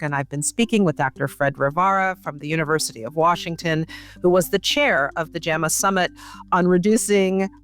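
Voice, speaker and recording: A woman hosting a medical podcast interview, speaking in an articulate, measured and formal way. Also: lowish voice. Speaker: podcast host, medical journal editor.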